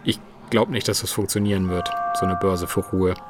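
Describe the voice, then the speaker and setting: A man talking informally and reflectively, halting and letting thoughts trail as he goes. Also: deep voice, steady tone. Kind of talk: informal reflective interview talk. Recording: prominent plucked guitar music bed. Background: plucked guitar music.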